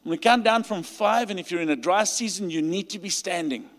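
A man with a South African accent preaching a sermon and leading a call to stand, his delivery rising to emphatic peaks and dropping to quiet pleading prayer. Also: high voice, brisk. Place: large church hall. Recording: long hall reverb.

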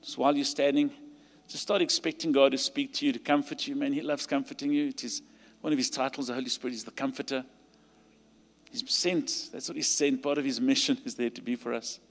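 A man with a South African accent preaching a sermon and leading a call to stand, his delivery rising to emphatic peaks and dropping to quiet pleading prayer. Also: medium pitch, level tone, a little fast. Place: large church hall. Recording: long hall reverb.

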